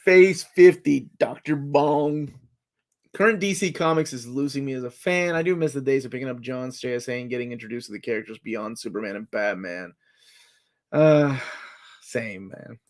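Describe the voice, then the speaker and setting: A man in his forties hosting a casual live chat, talking excitedly like a fan as he reads and answers comments. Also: medium pitch, normal speed. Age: 40s. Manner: excitable fan, jumps between comments and guesses.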